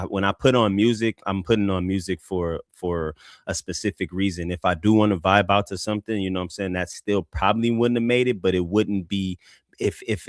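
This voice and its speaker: Deep-voiced man, rambling review talk. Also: smooth, no room sound, clean studio sound. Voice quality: deep voice, flat delivery. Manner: rambling, many restarts and fillers. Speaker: co-host music reviewer. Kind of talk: casual live music review chat.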